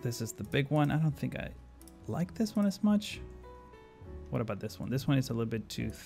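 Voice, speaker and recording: A man's voice: mid-range voice, sing-song, fairly quick, creaky, mellow, faint music behind. A man from Argentina streaming a city-building game live, thinking aloud with many fillers and answering chat questions as he plays. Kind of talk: live stream commentary while playing.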